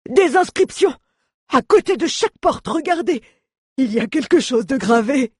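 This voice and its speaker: A reader playing a loud, grumbling, high-strung dwarf. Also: unhurried, breathy edge, dry recording, studio quality. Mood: excited. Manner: grumbling, exclamatory, indignant. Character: grumpy, loud, sarcastic male dwarf adventurer.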